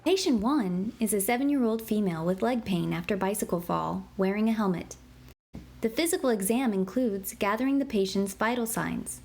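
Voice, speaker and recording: A woman narrating an interactive children's book in a clear, explanatory way, slowing down to spell out medical terms. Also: mid-range voice, a little mellow. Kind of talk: scripted read-aloud narration for kids. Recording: clear background noise.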